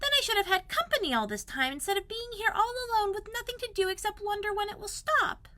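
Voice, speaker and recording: Squeaky, anxious little piglet. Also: female voice, expressive, fast talker, bright, forceful tone, heavily acted. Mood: lonely, wistful. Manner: squeaky, plaintive, fretful. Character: very small anxious piglet, timid and wistful.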